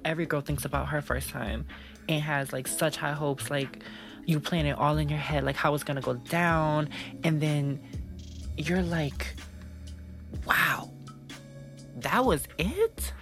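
A brash, dreamy young woman, low and slow. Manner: breathy, unfiltered, swearing, drawn-out. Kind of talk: audio drama monologue.

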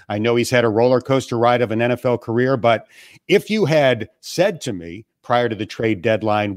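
A man from western New York who is a radio play-by-play broadcaster, answering interview questions in a measured, self-deprecating way from his family room. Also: mid-range voice, no echo, studio quality. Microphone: remote call from home.

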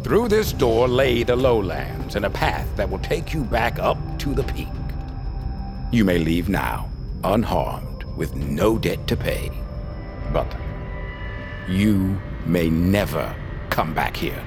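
An actor playing a stern, proud leader of outlaw thieves, speaking gravely and deliberately in an audio drama. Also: male voice, sits low, sing-song, music in the background, full character voice. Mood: stern warning. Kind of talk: dramatic audio fiction storytelling.

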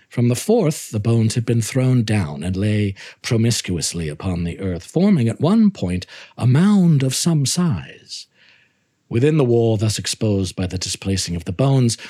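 A man giving a theatrical, deliberate literary reading of a Gothic story as narrator. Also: lowish voice, darkish tone, breathy edge.

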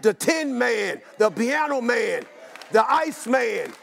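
Male preacher, very high voice, slow and deliberate, chanting repeated lists and building to shouts. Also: sing-song, gravelly, some chatter behind. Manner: repeats one call in long lists. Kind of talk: sermon.

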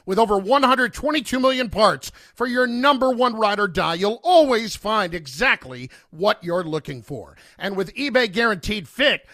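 Bright, high-pitched male ad announcer. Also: expressive, moderate pace, few pauses, no room sound, clean studio sound. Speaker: commercial announcer. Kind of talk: scripted advertisement read.

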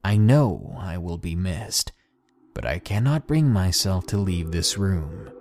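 A man's voice: low voice, sing-song, no room sound, clean studio sound, heavily acted. A man reading as a despairing old school janitor. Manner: slow, hushed, breaking into whispers. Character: despairing male school janitor writing a farewell note. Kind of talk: dramatic horror story reading. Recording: soft music behind.

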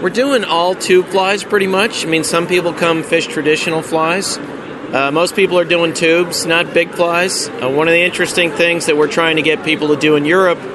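An American man working in marketing for a fishing lodge, chatting casually in an interview, relaxed, with lots of ums and dry humor. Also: a little high, moderate pace. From United States. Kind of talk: casual interview at an outdoor event. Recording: noisy outdoor street recording.

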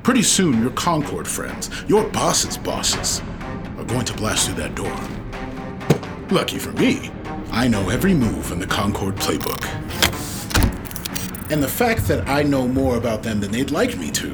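An actor playing a cocky, menacing outlaw kidnapper chief in a sci-fi audio drama, sardonic and taunting. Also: male voice, medium pitch, big pitch swings, measured pace, pauses often, over loud music. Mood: taunting, intense.

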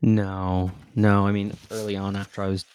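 A man's voice: on the low side, flat delivery, normal speed, a little mellow, clean tone. A man who performs on stage, guest on a casual interview, telling stories in a chatty, self-deprecating way and laughing often.

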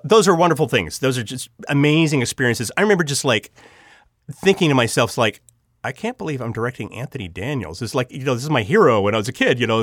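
A man speaking as an interview guest, telling personal stories in an excitable, emphatic and devout, earnest way. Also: mid-range voice, dry recording, studio quality. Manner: excitable, emphatic, with dramatic quoted asides. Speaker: guest, audio drama writer and director. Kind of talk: animated personal storytelling.

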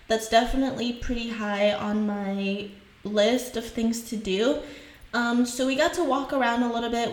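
A woman's voice: fairly high pitch, reverberant. A young woman from Haiti whose first languages are French and Creole, chatting casually as a podcast host and laughing often.

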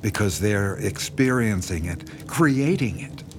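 An actor playing a sinister, obsessive horror writer with a coaxing, intense, condescending manner in a radio drama. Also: male voice, fairly low pitch, expressive, a little slow. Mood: smug, persuasive. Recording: dramatic music behind the voice.

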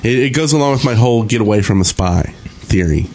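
A man's voice: fairly low pitch, animated, quick pace, darkish tone. A man co-hosting a gaming podcast, talking in a casual, rambling way. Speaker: podcast co-host.

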